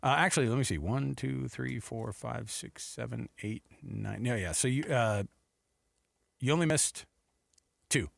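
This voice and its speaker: A man's voice: mid-range voice, unhurried, creaky, dry recording. A man hosting a sports radio show with casual, teasing banter. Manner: playful, teasing.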